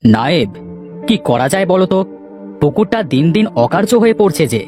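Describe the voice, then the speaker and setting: A brooding old village headman, acted out by a man for a cartoon. He speaks in a ponderous, deliberate way with lively swings of pitch, weighing his doubts, over background music. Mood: troubled, brooding. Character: worried elderly village headman, brooding and skeptical. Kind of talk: Bengali cartoon voice acting.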